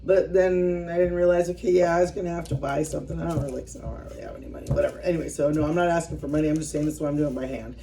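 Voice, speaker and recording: A woman's voice: low voice, steady tone, racing, vocal fry, hardly any pauses, mellow, far from the mic. A woman in her fifties chatting casually to her viewers as she works, rambling and self-deprecating, often trailing off mid-thought. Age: 50s. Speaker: vlogger. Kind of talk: casual chat while working by hand.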